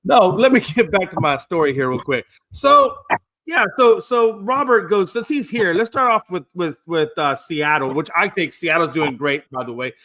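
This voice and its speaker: A man's voice: high voice, lively intonation, moderate pace, sharp tone. A man in his fifties co-hosting a casual sports talk show, teasing the others and rambling with repeated words. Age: 50s. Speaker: co-host.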